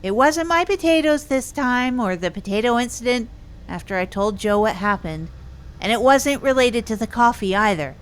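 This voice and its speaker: A woman's voice: on the high side, a bit bright. A woman playing an earnest, hapless amateur coffee maker, with a hesitant, rambling manner, in an improvised comedy audio drama. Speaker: podcast narrator.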